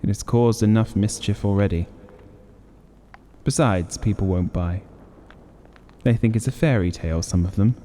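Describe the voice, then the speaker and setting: A man voicing a gruff old sergeant major, slow, solemn and dogged, as an audiobook character. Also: lowish voice, dark tone, lightly acted. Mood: slow, weary. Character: grave, gruff old soldier, a sergeant major back from India. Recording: long reverberant hall-like echo.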